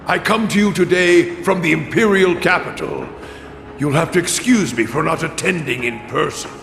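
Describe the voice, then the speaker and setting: A slow, imperious imperial chancellor. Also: male voice, high voice, gravelly, a bit bright, exaggerated voice. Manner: formal, measured, imperious courtesy. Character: commanding, calculating imperial chancellor addressing rivals. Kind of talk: dramatic video game cutscene acting. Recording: echoey hall reverb, music behind. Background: music.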